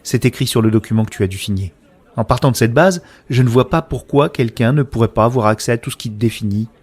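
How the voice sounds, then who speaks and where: A man's voice: medium pitch, quick pace, darkish tone, breathy edge, natural voice. A man playing a sceptical, passionate man in a French science fiction audio drama, articulate and argumentative. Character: Abel, sceptical, passionate man challenging a close companion.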